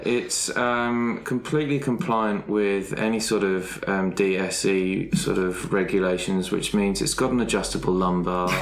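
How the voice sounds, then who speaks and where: A man improvising a character description for a roleplay game, speaking in a halting, wry way. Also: lowish voice. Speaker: player in a roleplay podcast. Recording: roomy, echoey sound with faint noise.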